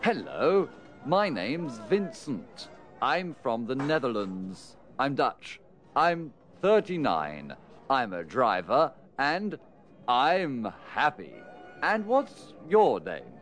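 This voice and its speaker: A man playing a cheerful, very slow-talking driver. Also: medium pitch, huge pitch swings, a bit bright, exaggerated voice. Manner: very slow, deliberate textbook delivery. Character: cheerful Dutch male driver in his thirties. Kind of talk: scripted language course dialogue.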